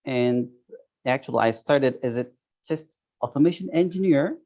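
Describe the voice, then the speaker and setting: A Turkish-accented man in his thirties speaks in a gravelly, mellow voice over a dull, lo-fi video call. He talks haltingly, pausing often to search for the right English words. He sounds like an interview guest on a remote call. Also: mid-range voice. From Turkey. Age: 30s. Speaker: guest, software tester.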